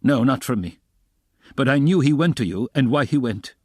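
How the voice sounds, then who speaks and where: A man's voice: mid-range voice, expressive, normal speed, dry recording, clean studio sound, voice pushed up, natural voice. A man voicing a proud, feverish young man under suspicion in an audiobook, indignant, vehement and defiant. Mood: curt.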